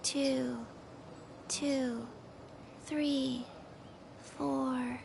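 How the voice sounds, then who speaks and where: A woman leads a breathing count at an extremely slow, measured pace. Her voice is high and creaky, over clear background noise. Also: level tone. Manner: measured, instructional.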